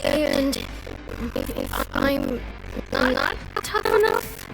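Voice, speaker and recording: A woman's voice: rumbling low, huge pitch swings, drawn-out words, creaky, heavily acted, rough recording. A woman voicing a sick young boy in a video game, whiny and anxious, hesitant and pleading. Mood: nervous. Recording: loud music behind the voice.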